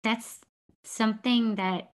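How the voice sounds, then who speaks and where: A woman hosting a podcast, chatting casually, quick and animated, laughing often. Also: fairly high pitch. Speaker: podcast host. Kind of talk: casual conversational chat.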